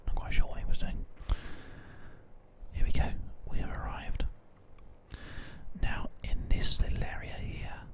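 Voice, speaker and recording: Whispered male voice, ASMR game commentary, rambling and gently explanatory. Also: a little slow, pauses often. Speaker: host of an ASMR gaming show.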